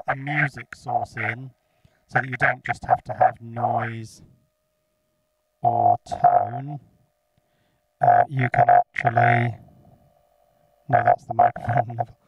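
A man giving a casual hands-on electronics demonstration, speaking haltingly as he thinks aloud. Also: mid-range voice, husky, highs cut off. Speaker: electronics hobbyist presenter. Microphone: close handheld recorder microphone.

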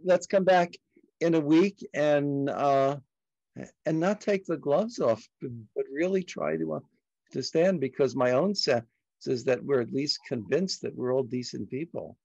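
An older man from Brooklyn, a native Yiddish speaker, hosting a reflective discussion in a halting, deliberate, frank way. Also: fairly high pitch, vocal fry, darkish tone, no echo. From Brooklyn, New York. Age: older, about 70s. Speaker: discussion host. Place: dim home study, indoors. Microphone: computer on video call. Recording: muffled video call audio.

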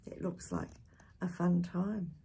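An older woman with a husky voice reading a picture book expressively to young listeners, adding chatty asides and animated tag questions. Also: on the low side, slow, a little hiss or hum. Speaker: children's storytime reader. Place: small indoor space with curtain backdrop.

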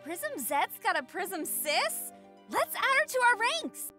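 Perky, piercing heroine. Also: female voice, extremely high pitch, sing-song, takes it slowly, pushed and bright, soft background music, full character voice. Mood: eager. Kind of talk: anime-style video game voice acting.

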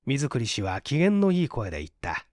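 A man's voice: medium pitch, wildly swooping pitch, normal speed, darkish tone, no echo, clean studio sound. A man narrating a literary story aloud in a dramatic reading style. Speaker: audiobook narrator and stage performer.

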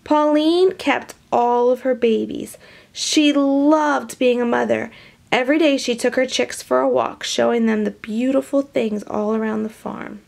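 A woman reading a storybook aloud to young children in an expressive, animated way, with hushed asides. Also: fairly high pitch, measured pace, gravelly. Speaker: storybook reader.

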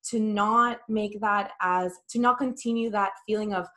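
A young woman from El Salvador speaks in a webinar over a video call. She talks earnestly and fills her pauses with ums, at a medium pitch. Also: fairly even intonation, sharp tone. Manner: earnest, with fillers. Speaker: presenter. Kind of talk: webinar presentation.

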